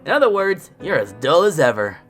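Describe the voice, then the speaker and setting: A woman's voice: low-pitched, sing-song, brisk, soft background music. A woman playing a taunting, thin-skinned teenage boy in an audio drama, with mocking, foul-mouthed gamer slang. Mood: smug, taunting.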